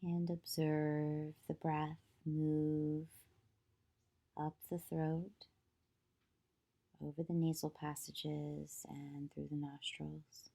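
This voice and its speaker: A woman leading a guided meditation as a yoga nidra teacher, soothing and measured, with long pauses between short phrases. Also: deep voice, level tone, creaky, mellow.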